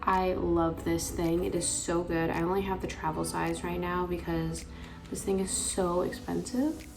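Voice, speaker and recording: A young woman with a raspy voice, chatting casually through a makeup tutorial with lots of filler words. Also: on the low side, fairly even intonation, measured pace, music in the background. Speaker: beauty vlogger.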